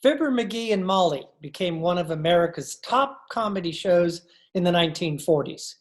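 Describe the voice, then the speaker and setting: A woman's voice: on the low side, animated, normal speed. A woman reading scripted narration as the announcer of an old-time radio show.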